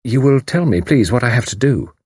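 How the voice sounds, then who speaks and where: A man's voice: medium pitch, fairly quick, slightly rough, dry recording, studio quality, voice pushed up. A man doing a composed woman spy. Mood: composed, firm. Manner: calm, firm, composed. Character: composed young woman spy posing as nurse.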